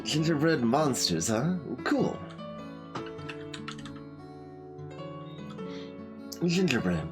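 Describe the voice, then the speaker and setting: A man's voice: mid-range voice, sing-song, unhurried, over music. A man voicing a brash, snack-hungry teenage monster girl from a video game. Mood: casual, curious.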